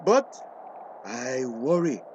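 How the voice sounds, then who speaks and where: An actor playing a guilt-ridden, worried older grandfather in a screen drama, halting and repeating himself, with a Belizean accent. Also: medium pitch, very animated, a little mellow, dull audio, slight background noise. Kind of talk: acted screen drama dialogue.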